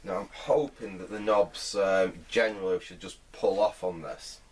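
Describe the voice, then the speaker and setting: Middle-aged male restorer, low-pitched voice, informal workbench commentary, thinks aloud with hesitation. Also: normal speed. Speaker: vintage radio restorer, presenter. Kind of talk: casual hands-on repair commentary. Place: indoor workbench.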